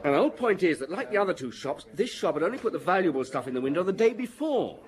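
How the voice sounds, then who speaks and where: A British police detective reporting to his boss in a radio drama. He is keen and a little grumbling. His voice sits slightly high, and his phrases are clipped. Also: big pitch swings, fast talker. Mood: dour, businesslike.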